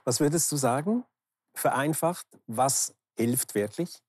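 A man in his fifties hosting a talk, asking questions conversationally with searching, halting phrasing. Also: medium pitch, slightly rough, no echo, clean studio sound. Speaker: talk show host, interviewer. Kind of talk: conversational interviewing. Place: large indoor bar hall, seated interview. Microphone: lapel microphone.

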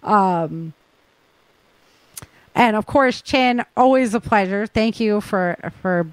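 A woman's voice: medium pitch, slow pace, dry recording, low-quality recording. A woman podcast host talking informally to her listeners, mixing news rundown with casual chat.

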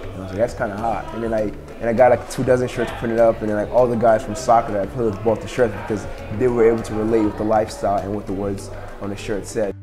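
A young man from Nigeria talks fast and casually in an interview at a bar, slipping in fillers like "you know" and "like". His voice sits a bit low, with music playing behind him. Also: little pitch movement. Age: 20s. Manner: quick, rambling, many fillers. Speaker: interviewee, entrepreneur. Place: indoors at a bar.

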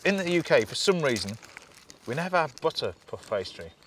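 Chatty TV chef outdoors. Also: male voice, on the high side, very animated, brisk, fairly bright tone, slight background noise. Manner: chatty, instructive, laughs easily. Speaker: TV chef host. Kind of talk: cooking demonstration. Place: outdoors at a campsite.

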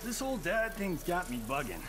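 Cocky fighter: high, wildly animated, sarcastic game banter over music. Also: male voice, fairly quick. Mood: flippant. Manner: flippant, sarcastic. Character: cocky wisecracking young action-star fighter. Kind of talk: video game cutscene dialogue. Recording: game music and effects behind.

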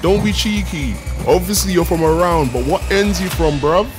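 A man plays a suspicious street bully in a motion comic, slangy and challenging. His voice is high. Music is clear behind it. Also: moderate pace, close to an everyday voice. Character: suspicious, bullying teenage street gang boy. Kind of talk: dramatized comic voice acting.

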